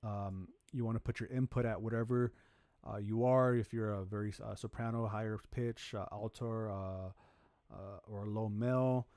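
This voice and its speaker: A man hosting a music production tutorial from a home studio, talking through his steps in a relaxed, rambling way with lots of fillers and restarts. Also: normal speed, dry recording. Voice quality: deep voice. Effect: auto-tune pitch correction on some lines. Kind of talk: casual screen-recorded tutorial. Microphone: studio vocal microphone.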